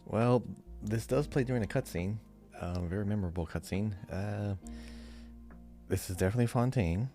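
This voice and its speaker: Low-voiced man musing over music. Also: normal speed, mellow. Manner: hesitant, musing. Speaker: podcast quiz contestant. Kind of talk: casual chat, thinking aloud.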